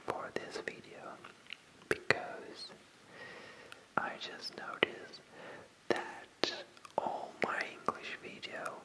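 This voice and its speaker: Breathy whisper, unhurried pace, hesitant phrasing, repeated words, ASMR creator talking to viewers. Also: poor audio. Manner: hesitant, halting delivery with repetitions.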